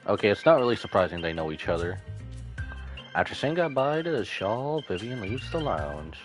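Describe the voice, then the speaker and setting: A man's voice: fairly low pitch, moderate pace. A man live-streaming a video game, reacting casually as he plays and reading bits of its text aloud. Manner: animated, casual. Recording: faint game music behind.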